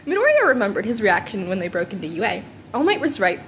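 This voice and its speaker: A woman's voice: medium pitch, big pitch swings, fast talker, a bit bright, slight background noise. A woman narrating fan fiction expressively and informally, sometimes stumbling over words and rereading them. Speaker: fan fiction audiobook reader. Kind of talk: expressive scripted story narration.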